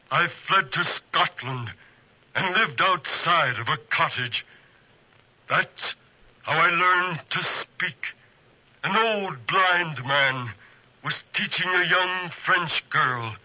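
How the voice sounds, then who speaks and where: A slow, sharp-voiced lonely monster. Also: male voice, a little high, exaggerated voice. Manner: slow, deliberate, theatrical. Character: lonely, pleading, menacing man-made monster. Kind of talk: 1940s radio acting. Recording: dull, lo-fi 1940s radio recording.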